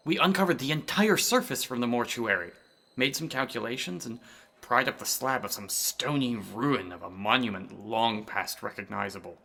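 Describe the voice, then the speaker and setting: Horror radio play: timid scholar, formal and nervy, creaky mid-range voice. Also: male voice, medium pitch, normal speed, sharp tone. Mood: haunted. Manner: nervous, formal old-fashioned speech. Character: Carter, timid anxious scholar haunted by a graveyard horror. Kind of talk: horror radio drama acting.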